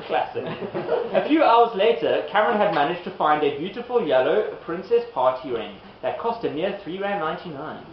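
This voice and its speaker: A man's voice: fairly high pitch, lively intonation, moderate pace. A man narrating a comic puppet show in an amused, giggly manner, breaking off to direct the performers. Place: live performance in an echoey room. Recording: noisy, muffled, echoey room recording.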